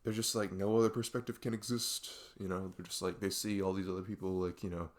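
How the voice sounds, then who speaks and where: A man playing a young best friend who confides in a halting, rambling, exasperated way in an ASMR audio roleplay. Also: low voice, little pitch movement, close to an everyday voice. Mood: frustrated, rambling. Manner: rambling, halting, exasperated sighs and nervous laughs. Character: young male best friend venting about arguing with parents.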